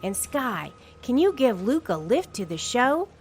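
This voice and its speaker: A boy leader of a storybook rescue team, voiced by a woman narrator. He sounds sure of himself and reassuring, giving directions at a measured pace with lively, varied intonation. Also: on the high side, a little hiss or hum. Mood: decisive. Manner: reassuring, decisive. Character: calm, confident boy leader of rescue pups.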